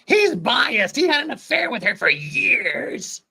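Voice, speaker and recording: A man voicing a stubborn, crotchety old woman lawyer with a creaky, cartoonish voice in a dramatic reading. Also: extremely high pitch, very animated, slow pace, bright, forceful tone, pitched above the performer's own voice, full character voice. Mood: indignant insisting.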